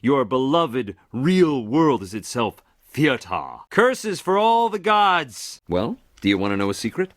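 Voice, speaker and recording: Gossipy male trickster god, theatrical showman, flippant, highly animated pitch, dry sound, video game character. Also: measured pace, no room sound. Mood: conspiratorial. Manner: flippant, showman-like, breaking the fourth wall. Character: flamboyant gossipy male Greek messenger god, cheerful liar. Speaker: guide to the underworld. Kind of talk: theatrical monologue to an audience.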